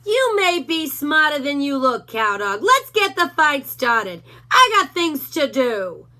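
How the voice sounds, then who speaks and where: A woman voicing a cocky, menacing male Doberman who growls out slow threats. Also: high-pitched, big pitch swings, bright, forceful tone, pitched above the performer's own voice, heavily acted. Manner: snarling, drawn-out threats.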